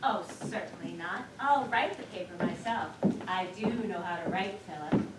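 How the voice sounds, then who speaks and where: A woman's voice: fairly low pitch, moderate pace, lightly acted. A woman on stage playing a proud, chatty, self-important wife in a comedy, gushing and self-satisfied. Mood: defensive, proud. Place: theater stage, recorded from afar. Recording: echoey theater, distant mic, noisy.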